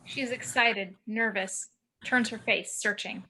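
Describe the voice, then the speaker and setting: Female screenplay narrator, bright, forceful mid-range voice, reading action descriptions. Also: medium pitch, steady tone, slow pace, rough recording.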